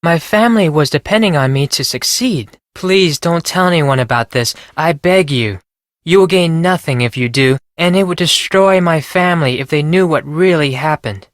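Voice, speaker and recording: A man's voice: fairly high pitch, moderate pace, no room sound, clean studio sound, close to an everyday voice. A man playing an anxious, earnest teenage Japanese exchange student with a Japanese accent and formal, careful phrasing, in video game lines. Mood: desperate, remorseful.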